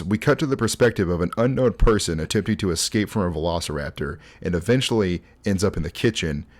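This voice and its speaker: A young man in his twenties hosting a casual, conversational video essay, talking informally through scripted commentary. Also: low voice. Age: 20s. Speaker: host.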